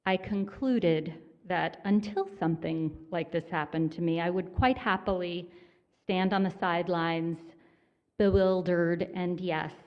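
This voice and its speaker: A woman minister delivers her sermon in a church sanctuary, unhurried and conversational, with a dry, self-deprecating humor. Her voice sits a little low, and she takes her time over each phrase. The recording sounds dull and slightly lo-fi. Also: fairly even intonation.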